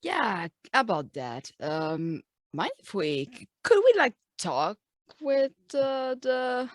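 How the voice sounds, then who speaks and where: A woman playing a tabletop game, casually and haltingly thinking aloud as she discusses what to do. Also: on the high side, huge pitch swings, dry recording, studio quality.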